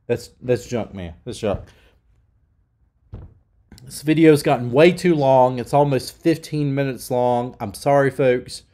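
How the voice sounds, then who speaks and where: A man's voice: mid-range voice, warm tone. Heated nasal man with a cold. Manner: heated, emphatic. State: sick, nasal. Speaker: channel host. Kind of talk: opinion rant.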